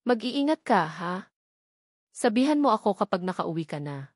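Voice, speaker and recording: A woman with a Filipino accent voicing a kind, worried office superior in a gentle, caring manner. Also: medium pitch, sing-song, moderate pace, no echo, clean studio sound.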